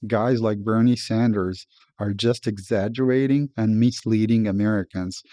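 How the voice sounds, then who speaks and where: A man's voice: lowish voice, fairly even intonation, normal speed, mellow, no room sound, clean studio sound. A man from Serbia giving a blunt, opinionated monologue to camera, emphatic and swearing freely. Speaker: commentator.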